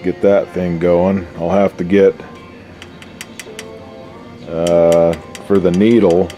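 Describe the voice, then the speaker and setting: A man with an extremely low, deep voice chats casually through a hands-on repair job. His delivery is folksy and slangy, muttered as he works, with faint music somewhere behind him. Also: little pitch movement, takes it slowly, warm tone. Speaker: hobbyist radio restorer and video host. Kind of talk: casual unscripted workbench commentary.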